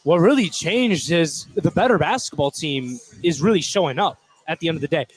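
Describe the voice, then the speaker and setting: A man with a high-pitched voice offers color commentary on a live basketball game. His delivery is informal and conversational, and he states his opinions freely as he breaks down the play. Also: lively intonation, brisk, slightly rough.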